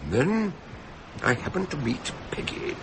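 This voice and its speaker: An actor playing an elderly, eccentric detective doctor in a radio drama, genial and theatrical with a gravelly, husky voice. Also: male voice, on the high side, huge pitch swings, a little slow, noisy background, heavily acted. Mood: recounting. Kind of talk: radio drama acting and narration.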